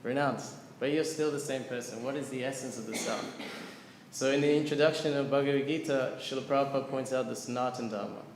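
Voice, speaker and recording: A man's voice: medium pitch, steady tone, quick pace, background noise. A man teaching a devotional scripture class to a live audience, explaining earnestly and putting questions to listeners. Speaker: lecturer on scripture. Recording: echoey hall with long reverb, faint ambience.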